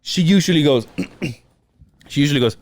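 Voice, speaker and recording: Lively animated man in casual podcast chat. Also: fairly high pitch, a little slow. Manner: animated storyteller, swears freely, laughs often. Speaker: podcast co-host.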